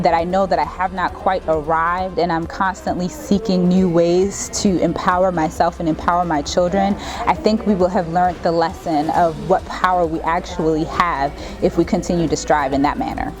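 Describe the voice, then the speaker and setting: A woman speaks as a mother in an interview, steady and thoughtful. Her voice is a little low for a woman. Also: moderate pace, hardly any pauses, music in the background. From raised in the United States. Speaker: mother and guest. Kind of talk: reflective interview talk.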